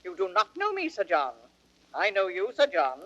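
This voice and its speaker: Scolding, flustered tavern hostess, wildly swooping. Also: female voice, medium pitch, huge pitch swings, normal speed, fairly bright tone. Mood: indignant. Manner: flustered, protesting. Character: scolding, indignant female tavern hostess, garrulous and common. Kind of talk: old radio Shakespeare acting. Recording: muffled vintage audio drama recording.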